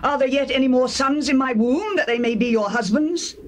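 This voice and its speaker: An actress playing a sorrowful older Hebrew widow, tender yet bitter, in a slow, solemn Bible audio drama. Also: female voice, high-pitched, lightly acted. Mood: sorrowful pleading. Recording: hissy old cassette tape.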